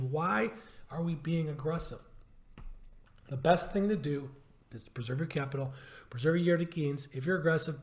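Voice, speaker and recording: A man with a somewhat high, hoarse voice walks through stock charts in a market update. He drives his points home with emphasis, repeats them and throws out rhetorical questions. The voice rings in an echoey room. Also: darkish tone. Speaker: stock trading educator.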